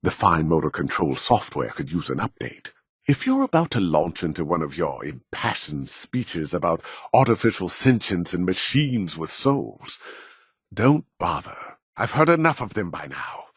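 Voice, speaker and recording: Deep, husky, arrogant male scientist. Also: very animated, no echo, clean studio sound, exaggerated voice. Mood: stern, dismissive. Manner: measured, formal, self-important, condescending. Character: proud, arrogant middle-aged male robotics scientist. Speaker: video game character voice actor. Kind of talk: scripted video game dialogue.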